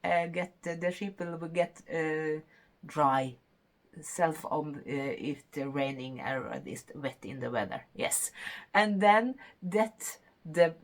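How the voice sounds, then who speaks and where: Slow-talking Norwegian woman hosting a podcast. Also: sits low, animated. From Norway. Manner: halting, searching for English words with many fillers. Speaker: knitting podcast host. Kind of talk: casual podcast monologue.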